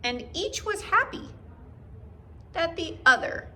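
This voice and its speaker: A woman teacher reading a children's book aloud in an expressive, deliberate storyteller style for young listeners. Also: extremely high pitch, slight background noise.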